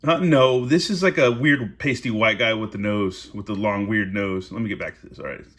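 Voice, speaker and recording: A man's voice: on the low side, normal speed, a bit bright. A man reading a story aloud in a casual, amused way, breaking off into informal asides.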